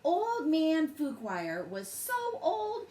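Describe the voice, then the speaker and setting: Animated, slow-paced woman reading a bedtime story. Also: sits high. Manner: deliberate, dramatic phrasing for children. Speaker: children's storyteller, host. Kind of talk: expressive bedtime story read-aloud. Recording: roomy echo, faint background noise.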